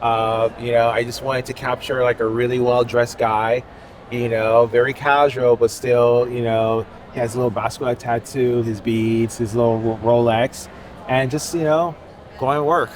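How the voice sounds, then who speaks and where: A man from Haiti chats about his art in a loose, rambling way, leaning on filler phrases as he goes. His voice sits at an everyday middle pitch, with faint street ambience around him at an outdoor fair. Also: little pitch movement, normal speed, slight background noise. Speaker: artist. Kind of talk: casual interview.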